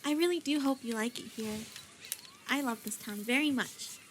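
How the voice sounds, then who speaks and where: A woman's voice: high voice, moderate pace, a little hiss or hum, lightly acted. A woman playing a bubbly naive shopkeeper girl. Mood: earnest. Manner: excitable, chatty, indecisive. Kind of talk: roleplay voice pack.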